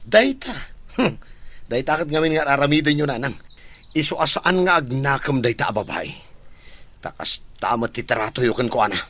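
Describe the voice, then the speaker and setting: An actor playing a stern, angry older brother in a radio drama, scolding in a Filipino Ilocano accent. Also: male voice, mid-range voice, big pitch swings, moderate pace. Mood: bitter. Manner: scolding, forceful delivery.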